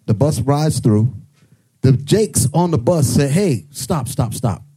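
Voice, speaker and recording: A man's voice: mid-range voice, lively intonation, slow, mellow, slightly rough. A man telling a story to a congregation in a rambling way, correcting himself as he goes.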